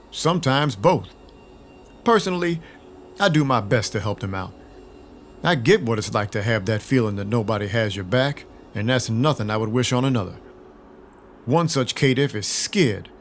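A man's voice: mid-range voice, slight background noise, lightly acted. A man playing a dry, brooding, world-weary vampire detective with terse noir delivery in an audio drama. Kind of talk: first-person noir narration, audio drama acting. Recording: loud music behind the voice.

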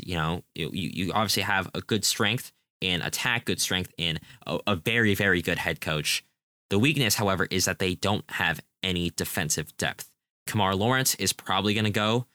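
A man's voice: low voice, bright, forceful tone, no room sound, studio quality. A young man co-hosting a casual soccer preview, talking enthusiastically and rambling with frequent restarts. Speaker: podcast co-host.

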